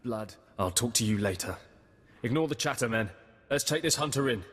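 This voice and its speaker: An actor playing a bullying, haughty military sergeant in a video game, barking with contempt. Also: male voice, medium pitch, animated, moderate pace, fairly bright tone, husky, big-room sound. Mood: curt.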